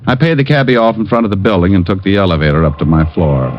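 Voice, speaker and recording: An actor playing a wisecracking private detective who narrates the story, in an old radio drama. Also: male voice, deep voice, fast talker, a little hiss or hum, natural voice. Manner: dry, wisecracking. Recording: dull old radio recording with music.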